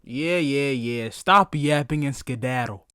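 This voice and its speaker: A man's voice: medium pitch, measured pace, sharp tone, smooth, no room sound, clean studio sound, faint music behind. A man hosting a comedic sports recap, narrating in profane slang with a mock-dramatic streak. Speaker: sports recap video host. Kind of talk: scripted comedic sports commentary.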